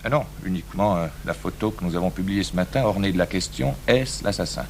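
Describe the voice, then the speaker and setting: An actor playing a falsely genial, caustic newspaper editor in a 1980s French radio drama. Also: male voice, deep voice, fast talker. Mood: mock-friendly. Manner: mock-friendly, sardonic. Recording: noisy old radio broadcast recording.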